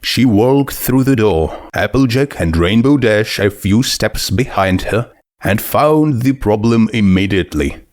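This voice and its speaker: A man's voice: lowish voice, no echo, clean studio sound. A man reading a scripted story aloud as narrator. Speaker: narrator of a fan fiction reading.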